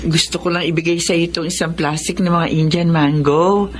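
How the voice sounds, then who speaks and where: A woman's voice: lowish voice, steady tone, normal speed, a little hiss or hum, natural voice. An actress playing a caring middle-aged neighbor woman, motherly and concerned. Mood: concerned, kind. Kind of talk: radio-style drama acting.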